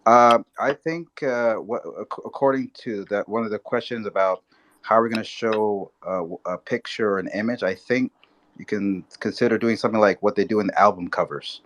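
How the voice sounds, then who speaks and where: A man joining a live discussion as a participant, talking casually in a rambling, halting way. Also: lowish voice, a bit bright, a little hiss or hum. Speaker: audience participant.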